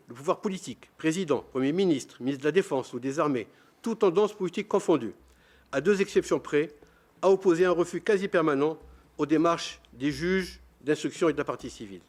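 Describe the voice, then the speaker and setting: An older man, a round-table panelist, reading a prepared formal statement in a measured, grave way, stumbling now and then on a word. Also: fairly high pitch, darkish tone.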